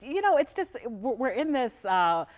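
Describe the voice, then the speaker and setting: A woman's voice: a little high, very animated, normal speed. A woman hosting a casual studio chat in a chatty, laughing manner. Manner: warm, chatty, laughing easily. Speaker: TV host.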